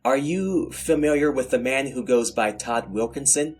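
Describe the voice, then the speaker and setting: A man's voice: medium pitch, moderate pace, a little mellow, faint music behind, pitched above the performer's own voice, close to an everyday voice. A man voicing a female police detective in a horror story, commanding yet tender and guarded. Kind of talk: dramatized horror audiobook.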